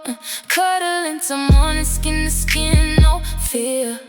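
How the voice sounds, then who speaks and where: A woman singing a slow rhythm and blues love song. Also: high voice. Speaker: singer. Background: loud music backing.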